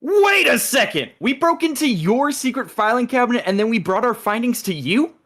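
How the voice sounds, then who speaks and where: Guy doing an overthinking spy, high voice swooping all over, emphatic, comedy radio play. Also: sing-song, normal speed, a bit bright, heavily acted. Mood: indignant. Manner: emphatic, convoluted explaining. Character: excitable, overthinking, conspiracy-minded secret agent. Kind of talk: live table read of a comedy radio play.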